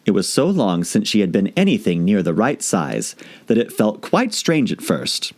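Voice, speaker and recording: A man reading scripted storybook narration as an audiobook reader, expressive and theatrical in his delivery. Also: on the low side, moderate pace.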